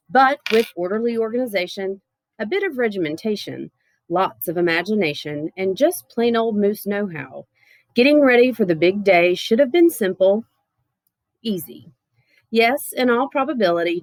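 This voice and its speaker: Lady reading a kids picture book out loud, playful and expressive, really dry close sound. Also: medium pitch, no room sound. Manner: playful, expressive, theatrical emphasis. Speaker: storybook reader. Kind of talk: animated children's picture book read-aloud.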